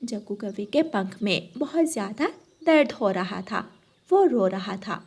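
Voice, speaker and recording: A woman's voice: mid-range voice, expressive, unhurried, a little mellow, slightly rough. A woman narrating a scripted children's story as its narrator.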